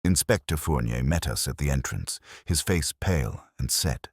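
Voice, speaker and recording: Male audiobook narrator, extremely low and husky, solemn and dramatic, with lively intonation and a dry, close sound. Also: dry recording. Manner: solemn, theatrical, deliberate phrasing. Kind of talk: dramatic scripted narration of a period mystery.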